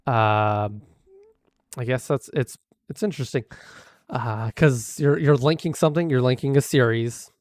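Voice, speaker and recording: A hoarse man live-streaming, casually critiquing videos with many fillers and restarts. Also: medium pitch, measured pace, a little mellow, no room sound, clean studio sound.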